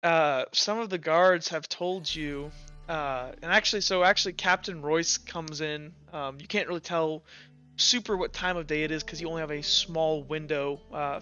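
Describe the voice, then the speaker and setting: A man running a tabletop game, improvising narration in a halting, informal way. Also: on the high side, steady tone, bright, forceful tone. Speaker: game master. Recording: slightly muffled, music bed behind.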